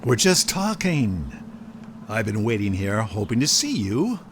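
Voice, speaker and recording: An actor playing a smug, sly mob lawyer who needles and insinuates, in a radio drama. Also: male voice, fairly low pitch, big pitch swings, a little slow, background noise. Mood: sly, persuasive. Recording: outdoor ambience with birdsong.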